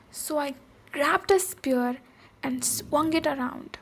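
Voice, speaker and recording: High-voiced, unhurried ogre warrior woman played by an actress. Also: creaky, full character voice. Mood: boastful storytelling. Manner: unhurried, deliberate, pausing between phrases. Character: boisterous female ogre warrior, protective of her human servant. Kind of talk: ASMR roleplay, storytelling and direct address.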